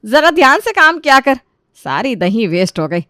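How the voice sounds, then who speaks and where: A woman's voice: high-pitched, big pitch swings, moderate pace, fairly bright tone, no echo, studio quality, voice pushed up. A woman voicing a bossy middle-aged mother-in-law in a storybook tale, scolding in an exasperated, commanding way.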